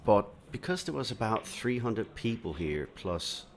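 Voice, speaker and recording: Low gravelly Danish-born pool commentator. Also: male voice, a little slow, a little hiss or hum. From Denmark. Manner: relaxed, explanatory, many "uh" fillers. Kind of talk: solo live commentary, answering chat.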